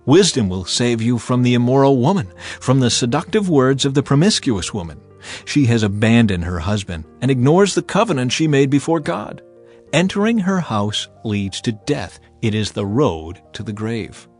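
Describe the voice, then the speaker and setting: A man giving a dramatized scripture reading in a solemn, measured, deliberate manner. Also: mid-range voice, very animated, darkish tone. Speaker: Bible narrator. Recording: music behind the voice. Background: music.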